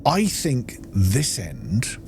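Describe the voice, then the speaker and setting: A man's voice: fairly low pitch, huge pitch swings, extremely slow, gravelly, noisy background. A man giving casual gameplay commentary, thinking aloud in an informal way. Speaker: gaming video host.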